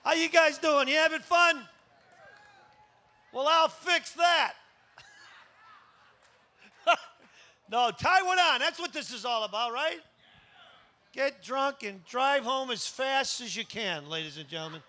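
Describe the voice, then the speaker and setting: Male stand-up comic, very high pitch, sharp bright tone, teasing delivery. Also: animated, takes it slowly, pauses often. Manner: teasing the audience. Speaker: stand-up comedian. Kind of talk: stand-up comedy routine. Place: live comedy show before an audience.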